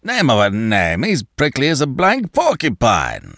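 Booming, hectoring colossus, put on by a male reader in a lively-pitched, dry audiobook read. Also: unhurried, gravelly, no echo, full character voice. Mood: blustering. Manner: loud, blustering, hectoring. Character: roaring, coarse, domineering giant of a man.

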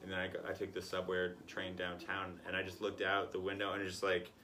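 Man, very low voice, podcast host, casual rambling chat, light background hiss. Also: completely flat delivery, fairly quick, sharp tone. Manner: rambling, lots of "like" and "kinda".

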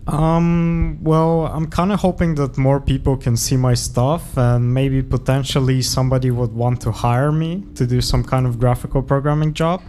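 A man's voice: medium pitch, steady tone, moderate pace, few pauses, warm tone, a little hiss or hum. A young man from Bulgaria, a guest artist in a casual interview, talking in a modest, hesitant way that often trails off. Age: young adult.

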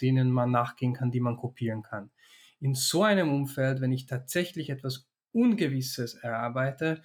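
Thoughtful man explaining at length. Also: mid-range voice. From Hungary. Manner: thoughtful, explanatory, frequent restarts and fillers. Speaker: interview guest, consultant and startup founder. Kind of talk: reflective interview answers.

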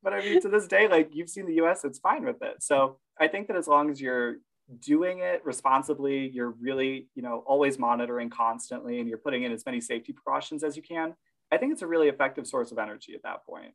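Man, podcast co-host, easygoing chat, laughs a lot, middle pitch. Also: fast, creaky, poor audio. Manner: relaxed, laughs often, reads quotes from sources.

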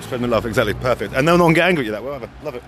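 A man's voice: mid-range voice, lively intonation, very fast, a bit bright, slight background noise. A man hosting a podcast, giving casual, rambling live commentary that often repeats phrases. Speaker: podcast host.

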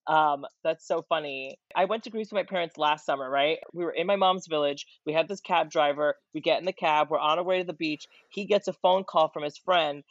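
A man's voice: a little high, brisk, few pauses, dry recording. A man chatting casually and telling stories, with many fillers and restarts. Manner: relaxed, storytelling with lots of fillers. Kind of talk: casual chat. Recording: dull, dry sound.

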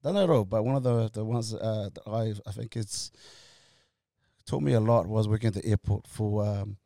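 A man in his forties from Tonga, telling his story as an interview guest in a halting way, full of fillers and restarts, laughing easily. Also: on the low side, gravelly, warm tone, no echo, studio quality. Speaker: guest, company co-founder. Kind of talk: casual interview chat.

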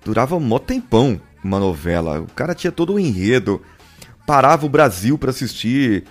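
A man's voice: mid-range voice, big pitch swings, brisk, faint music behind. A man with a Brazilian Portuguese accent, a podcaster leading a casual conversation and putting questions to his co-hosts. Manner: conversational, asks questions to the others.

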